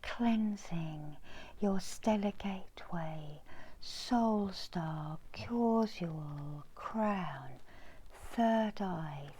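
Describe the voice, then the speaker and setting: Very slow, whispery woman guiding meditation. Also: fairly low pitch, slight background noise, rough recording. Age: middle-aged. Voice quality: breathy, often whispered. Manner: soft, slow, soothing delivery with long pauses. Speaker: reiki healer and meditation guide. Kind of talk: ASMR guided meditation. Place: small indoor room.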